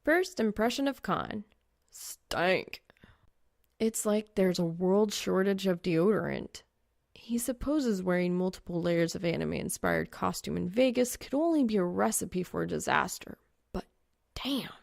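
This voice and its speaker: A woman reading fan fiction as an audiobook narrator, expressive and wry. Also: mid-range voice, measured pace, creaky, dry recording, studio quality.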